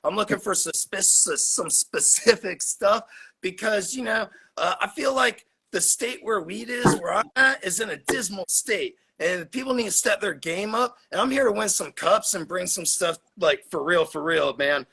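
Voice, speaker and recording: A man's voice: high-pitched, animated, normal speed, vocal fry, bright, forceful tone, breathy edge, low-quality recording. A man in his forties from Florida, telling his story as a podcast guest in a laid-back, swearing, laughing way. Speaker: podcast guest, cannabis grower. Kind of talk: casual podcast chat.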